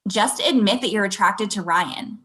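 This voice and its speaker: A woman's voice: mid-range voice, normal speed, a bit bright, lightly acted. A young woman acting a hurt, confrontational girlfriend in a naturalistic script read over a video call. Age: young adult. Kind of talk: acted pilot table read, naturalistic. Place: small room at home, video call. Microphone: computer video-call mic.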